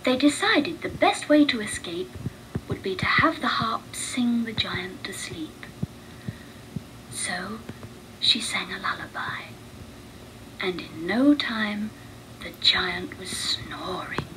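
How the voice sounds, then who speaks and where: A woman narrating a children's read-along storybook with deliberate, expressive phrasing. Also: medium pitch, noisy background.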